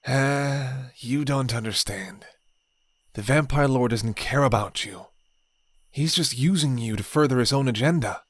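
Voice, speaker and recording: A man's voice: mid-range voice, unhurried, no echo. A man voicing a sardonic rebel leader who mocks an old friend and then pleads earnestly.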